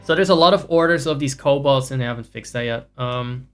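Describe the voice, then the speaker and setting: A man's voice: medium pitch, brisk, a bit bright, soft background music. A man livestreaming a video game, giving casual commentary in a profane, sarcastic, ranting way. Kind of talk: casual live gameplay commentary.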